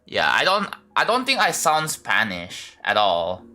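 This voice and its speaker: A man's voice: mid-range voice, wildly swooping pitch, measured pace, pushed and bright. A man with an Indonesian accent, chatting casually to his livestream viewers while building a game level and thinking aloud. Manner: thinks aloud, talks to chat, many fillers and restarts.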